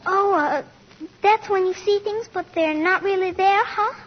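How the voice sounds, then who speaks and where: A woman's voice: very high-pitched, measured pace. A female performer playing a serious, literal-minded little schoolgirl in 1940s radio drama. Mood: curious, unsure. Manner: earnest, literal, matter-of-fact. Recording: muffled, faintly noisy 1940s radio broadcast.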